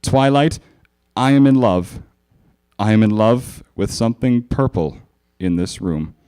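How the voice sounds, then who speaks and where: A man's voice: on the low side, animated, a little slow, mellow, clean tone. A man voicing a smug, crude young male dragon sidekick in a live comic story reading. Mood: grave, earnest. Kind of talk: live dramatic fan fiction reading.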